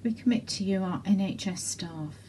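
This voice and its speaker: A woman leading intercessory prayers in a measured way, pausing between short petitions. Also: mid-range voice, dark tone, a little hiss or hum. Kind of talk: slow spoken intercessory prayer.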